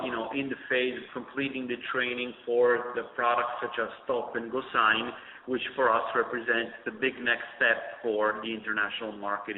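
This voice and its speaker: A man answering analyst questions on an earnings call, brisk and fluent with fillers. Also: medium pitch, slight background noise. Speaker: company executive. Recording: echoey tinny phone line with reverb.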